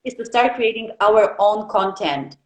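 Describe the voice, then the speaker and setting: A woman's voice: medium pitch, unhurried. A woman hosting a casual interview, who reacts with short exclamations and asks long, winding questions. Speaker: interview host. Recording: echoey room sound.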